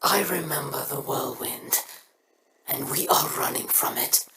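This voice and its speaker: A grave, low alien leader. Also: female voice, measured pace, heavy background noise. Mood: solemn. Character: stern alien house leader, cold and solemn.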